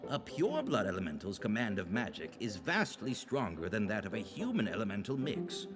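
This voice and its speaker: An actor playing a formal, didactic male teacher in a fantasy visual novel, speaking in a measured, lecturing way. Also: on the low side, wildly swooping pitch, music in the background. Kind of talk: scripted visual novel voice acting.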